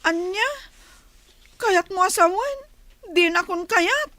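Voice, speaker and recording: Young Ilocano daughter, played by a woman: lazy, plaintive, slow-spoken, piercingly high. Radio comedy drama. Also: expressive, bright, forceful tone, no room sound, heavily acted. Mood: hurt, tearful. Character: lazy, tearful young village daughter, jilted and whiny.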